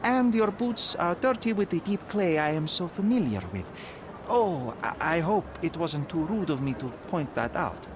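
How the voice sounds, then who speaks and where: A man's voice: fairly high pitch, animated, background noise, lightly acted. A man voicing a sly young detective. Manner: polite, teasing, quick-witted. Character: young male detective in disguise, sly and clever. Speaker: lead character. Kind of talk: video game voice acting.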